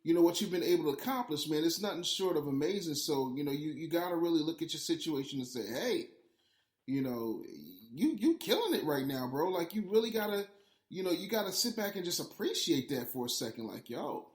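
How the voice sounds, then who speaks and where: A man's voice: a little high, animated, brisk. A man hosting a podcast with hyped, slangy, effusive talk, moving between casual interview and ad reads. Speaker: podcast host. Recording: roomy, echoey sound.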